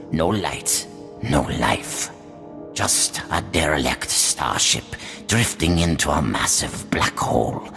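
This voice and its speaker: An actor playing a dying, ruthless old crime lord in a video game, speaking slowly and gravely with a breathy, gravelly voice. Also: male voice, a bass voice, exaggerated voice. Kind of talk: video game character acting.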